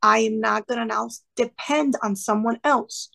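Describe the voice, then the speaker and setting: A woman in her thirties with a fairly high voice and a gravelly edge, talking earnestly as an interview guest. She tells her story in a lively way and acts out the people she quotes. Her speech stays warm and sincere. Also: moderate pace. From Cuban family background. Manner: earnest, animated, quoting others in lively asides. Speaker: guest, former classroom teacher. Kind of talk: conversational storytelling.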